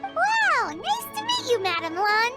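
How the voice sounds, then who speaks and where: A woman voicing a tiny, childlike, cheeky fairy companion in a video game, with a squeaky, excitable delivery. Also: a little slow, loud music behind the voice, exaggerated voice. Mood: cheerful. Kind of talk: animated game dialogue.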